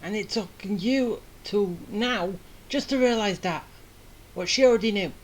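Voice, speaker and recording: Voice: mid-range voice, measured pace, slight background noise, rough recording. A game streamer giving casual, offhand commentary with muttered asides while playing. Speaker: game streamer playing through a story quest. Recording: game music behind the voice.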